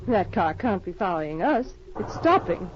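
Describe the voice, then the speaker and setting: A woman's voice: lowish voice, big pitch swings, normal speed. Businesslike female agent, muffled old radio. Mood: concerned. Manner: crisp, frank, clipped sentences. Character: cool, businesslike female secret agent posing as arms buyer. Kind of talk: 1940s radio drama acting. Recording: dull, noisy old radio recording.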